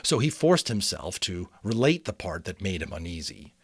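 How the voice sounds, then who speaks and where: A man with a fairly low voice speaks as an amateur audiobook host. His delivery is expressive and deliberate, with a casual storyteller's touch. The recording is dry, with little room sound. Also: husky, no room sound. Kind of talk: dramatic storybook reading with casual asides.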